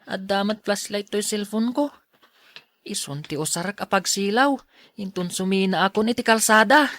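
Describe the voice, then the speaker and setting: A timid schoolboy, acted by a woman in an audio drama, polite and easily frightened. The delivery is hesitant and bashful, at a middling pitch, recorded close and dry. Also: normal speed, a bit bright, dry recording, close to an everyday voice. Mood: nervous.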